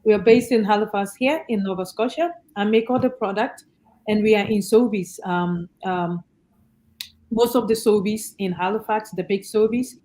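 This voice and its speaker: Female panelist, Ghanaian accent, thoughtful halting delivery, conversational. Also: medium pitch, steady tone, mellow. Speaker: panelist, entrepreneur. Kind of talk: conversational self-introduction at an online panel.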